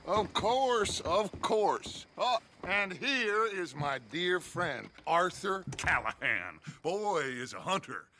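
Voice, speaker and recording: An actor playing a grandiose, smooth-talking middle-aged outlaw leader in a video game cutscene, speaking with flourish. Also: male voice, high-pitched, sing-song, takes it slowly, few pauses, pushed and bright, a little hiss or hum, full character voice. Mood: charming. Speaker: gang leader.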